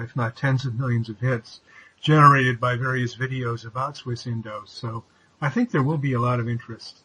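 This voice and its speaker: Bright-voiced male interview host. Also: mid-range voice, husky, rough recording. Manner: formal opening, measured questions. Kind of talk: hosting an online interview.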